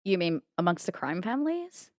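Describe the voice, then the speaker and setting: A woman's voice: lowish voice, sing-song, brisk, a little mellow, no room sound, clean studio sound. A woman playing a spoiled, ditzy young crime heiress in a tabletop roleplay, with breezy valley-girl talk full of filler words. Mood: flippant.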